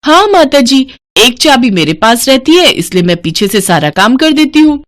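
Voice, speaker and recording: A woman's voice: high voice, sing-song, moderate pace, pitched above the performer's own voice. A woman voicing a meek, respectful, hardworking housemaid in a moral story cartoon. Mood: earnest, explaining. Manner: respectful, deferential.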